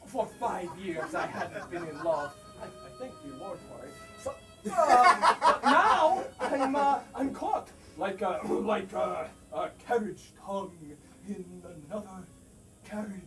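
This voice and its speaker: Distant stage recording with music behind. A man acts out a flustered, impulsive gentleman landowner in love, with big swings of pitch. He stammers and drags through his words, then blurts them out theatrically. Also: expressive, heavily acted. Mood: lovesick, rambling. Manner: stammering, halting, bursting into shouts. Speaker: student stage actor. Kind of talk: theatrical comic stage acting.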